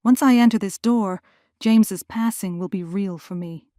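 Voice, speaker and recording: A woman acting in an audio drama as a gentle, anxious mother who is grieving. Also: mid-range voice, moderate pace, dry recording, studio quality, close to an everyday voice. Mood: nervous, tender. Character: gentle grieving mother, returned after twenty years, anxious.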